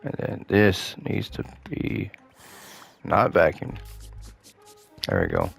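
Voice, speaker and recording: Deep, gravelly man commentating on a game. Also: slow pace, faint music behind. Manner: relaxed thinking aloud, muttering to himself while playing. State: slightly hoarse, voice starting to go. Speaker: gaming host playing a renovation game. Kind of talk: casual gameplay commentary.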